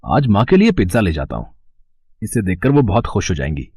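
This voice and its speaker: A man's voice: lowish voice, wildly swooping pitch, a little fast, a little mellow, slightly rough, dry recording, clean studio sound, exaggerated voice. A man voicing an affectionate, teasing young adult son in a cartoon moral story, with light bantering delivery. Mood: fond.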